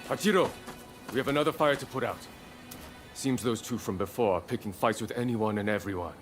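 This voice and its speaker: An actor playing a weary, matter-of-fact samurai officer reporting trouble in a game cutscene. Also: male voice, lowish voice, brisk, faint music behind, lightly acted. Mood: resigned. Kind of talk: scripted game cutscene acting.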